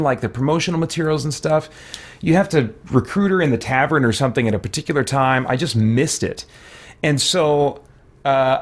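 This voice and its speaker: A middle-aged man giving casual, conversational let's play commentary as a gaming streamer, rambling and thinking aloud. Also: medium pitch.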